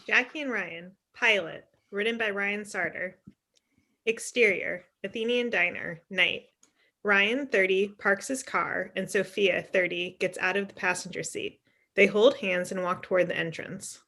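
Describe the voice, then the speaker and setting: A woman reads out screenplay directions over a video call. Her voice sits at a middle pitch, in the style of scripted narration. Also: steady tone, measured pace, pushed and bright. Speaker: stage directions reader. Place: indoor room on a video call.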